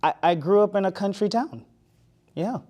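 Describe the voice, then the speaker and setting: A man's voice: sits high, moderate pace, mellow. A man in his twenties from Florida, talking in an easygoing, casual way and laughing often. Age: 20s. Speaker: young adult interview participant. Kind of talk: casual conversation.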